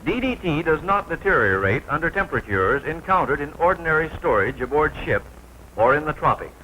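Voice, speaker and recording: An American man narrating a 1940s military training film from a script, formal and precise, with clearly enunciated announcer delivery. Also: fairly high pitch, very animated, fairly quick, highs cut off. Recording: noisy 1940s film soundtrack.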